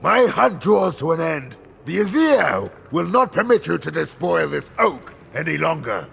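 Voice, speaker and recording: A man's voice: on the high side, big pitch swings, unhurried, creaky, a bit bright, a little hiss or hum, exaggerated voice. An actor playing a proud, defiant holy huntsman in a video game, speaking in a declamatory, heroic way. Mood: grim, confident. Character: righteous holy warrior huntsman, proud, defiant, sworn to his god. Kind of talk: dramatic video game cutscene acting.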